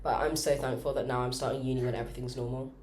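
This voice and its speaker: A woman's voice: extremely low pitch, fairly even intonation, very fast, darkish tone, a little hiss or hum. A nineteen-year-old woman with an English accent, chatting informally as a podcast co-host and often peppering her sentences with "like". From Birmingham, England. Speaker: podcast co-host, dental student. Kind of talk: casual chat.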